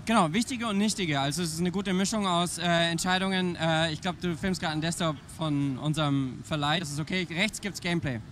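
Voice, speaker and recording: A man's voice: a little high, a little fast, hardly any pauses, fairly bright tone, a little hiss or hum. A man, an indie game developer, answering interview questions in a playful, jokey way while tired from a long fair. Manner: playful, jokey, thinks aloud. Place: busy indoor trade-fair hall.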